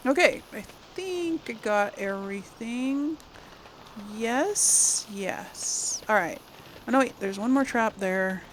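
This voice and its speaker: A woman's voice: a little high, expressive, takes it slowly, background noise. A woman hosting a casual gaming let's-play, chatting and thinking aloud as she plays. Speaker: gaming channel host playing through a game.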